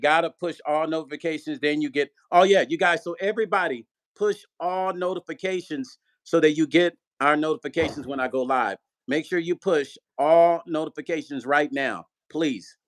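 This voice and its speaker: A man's voice: on the high side, moderate pace, fairly bright tone. Upbeat man hosting a livestream. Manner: upbeat, urging viewers, repeating phrases. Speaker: livestream host. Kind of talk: casual livestream chat reading comments.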